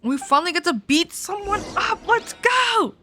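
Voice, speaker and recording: A woman's voice: extremely high pitch, expressive, moderate pace, noisy background. A young woman livestreaming a game, chatting casually and reacting in an informal, giggly, sweary way.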